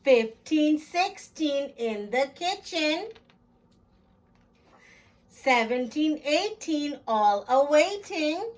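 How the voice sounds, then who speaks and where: A woman with a high voice and very animated intonation hosts a story time for children. She reads at an unhurried pace, expressively, addressing young listeners directly. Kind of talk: children's read-aloud.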